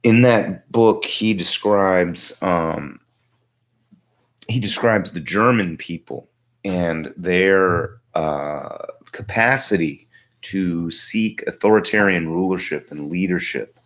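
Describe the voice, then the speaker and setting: A man in his forties with a tiring voice, talking through political commentary in a halting, reflective way as a livestream host. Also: sits low, a bit bright. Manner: halting, reflective, thinking aloud. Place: small home workshop, indoors.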